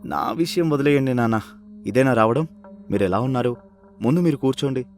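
A man's voice: medium pitch, expressive, brisk, darkish tone, faint music behind, close to an everyday voice. A man playing a weary, dejected grown son, resigned yet respectful, in a cartoon moral story. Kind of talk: animated moral-story voice acting.

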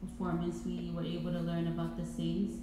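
A woman's voice: on the low side, completely flat delivery, a little fast, background noise. Young woman, Swahili native, echoey hall. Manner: hesitant, many fillers. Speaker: student panelist. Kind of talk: panel presentation. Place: large reverberant college hall. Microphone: handheld mic. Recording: echoey hall, music behind.

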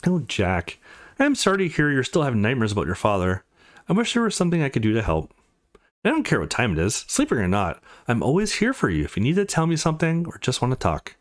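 A man's voice: mid-range voice, huge pitch swings, fast talker. A man voicing a worried, caring, motherly woman in a visual novel, speaking earnestly and affectionately. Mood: tender, concerned.

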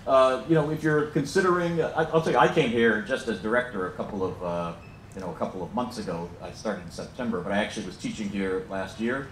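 A man's voice: fairly low pitch, fast talker, fairly bright tone, recorded from a distance, slight background noise. American man joking with a big hall. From United States. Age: middle-aged. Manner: chatty and joking, many fillers and restarts. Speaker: program director. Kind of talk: informal welcome speech to an audience. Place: large lecture hall. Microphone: handheld mic, filmed from the back. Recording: roomy hall, heard from afar.

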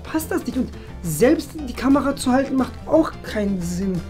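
A man in his twenties performing a playful comedy skit with an exaggerated, expressive delivery. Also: extremely high pitch, moderate pace, faint music behind. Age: 20s. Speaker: skit performer in a shared-flat video series. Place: small indoor rooms of a flat.

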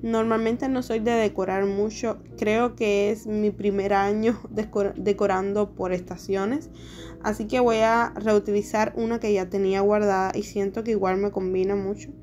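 Relaxed young woman talking through her decor vlog, mid pitch, easygoing fillers. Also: normal speed. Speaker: home decor vlogger. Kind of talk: casual voice-over vlog narration. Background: soft background music.

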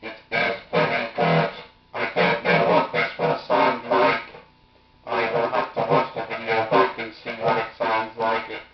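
A man voicing a menacing, emotionless robotic alien cyborg in a flat, staccato way through a ring modulator. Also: mid-range voice, unhurried, long pauses, faint music behind. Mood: monotone. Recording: dull, roomy, distant through voice changer.

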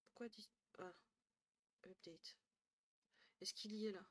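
A young woman streaming from a small room at home, talking into her headset. She is tired and chatty, thinks out loud, swears lightly and often trails off into low murmurs. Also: fairly even intonation, takes it slowly. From northern France. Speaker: Twitch streamer. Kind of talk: casual live-stream chat with viewers.

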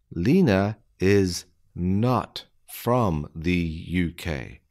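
A man with a low, deep voice reads out an English listening quiz. He speaks extremely slowly, setting each phrase apart so that learners can follow every word. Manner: deliberate, evenly spaced phrasing for learners. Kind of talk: slow scripted quiz reading.

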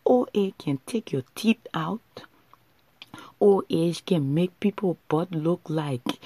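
A woman delivers a comic prayer, pleading and whining with whispered stretches. Her fairly high voice is husky and breathy. It is recorded close on a phone in a small bedroom, with a dry sound. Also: darkish tone, no room sound. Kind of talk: comic mock prayer monologue. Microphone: phone camera held close.

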